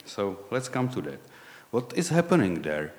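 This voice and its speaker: A man in his forties with a Czech accent, a lay preacher giving a sermon in a halting, candid way, often searching for words. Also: fairly low pitch, sing-song, a little mellow, long reverb tail. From Czech Republic.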